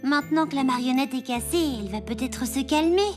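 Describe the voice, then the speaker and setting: A woman plays an outspoken cartoon schoolgirl. The voice is very high and youthful, with a blunt delivery, and music runs behind it. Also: fairly quick, close to an everyday voice. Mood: concerned. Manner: blunt, accusing. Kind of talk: French children's cartoon dubbing.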